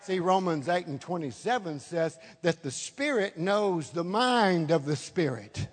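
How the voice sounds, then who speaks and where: High-voiced older male preacher in echoey hall. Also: expressive, measured pace, a faint crowd. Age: 70s. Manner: emphatic repetition of phrases. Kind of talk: charismatic sermon. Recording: echoey hall with long reverb.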